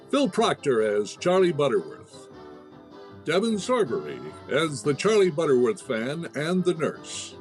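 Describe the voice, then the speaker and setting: A man reads closing credits as an old-time radio announcer. His mid-pitched voice moves in an animated, varied line, with soft music playing behind it. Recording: music under the voice.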